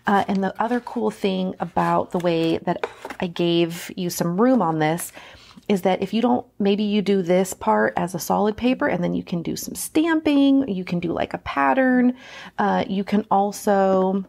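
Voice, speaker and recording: A woman's voice: mid-range voice, normal speed, gravelly, a little mellow. A woman hosting a casual hands-on craft tutorial, chatting informally and thinking aloud as she works.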